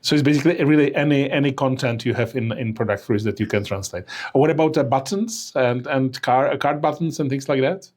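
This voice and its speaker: A man's voice: medium pitch, a little fast, hardly any pauses, darkish tone. Man moderating webinar questions. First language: Czech. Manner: hesitant, many fillers. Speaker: webinar co-host reading audience questions.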